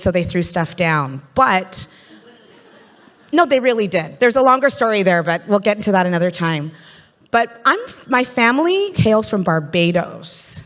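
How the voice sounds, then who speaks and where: Chatty Canadian woman MC in a hall. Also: medium pitch. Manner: chatty, playful, audience-engaging. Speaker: event MC. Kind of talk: live event hosting, crowd interaction. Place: large conference hall. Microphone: handheld stage microphone. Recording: reverberant conference hall.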